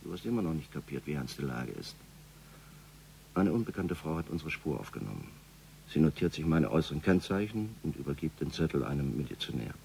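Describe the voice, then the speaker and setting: A man's voice: extremely low pitch, big pitch swings, fairly quick, creaky, darkish tone, slight background noise, heavily acted, low-quality recording. An actor playing a domineering, menacing crime boss in a radio drama, curt and sarcastic as he gives orders. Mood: grim, calculating. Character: domineering, menacing male boss of a criminal gang.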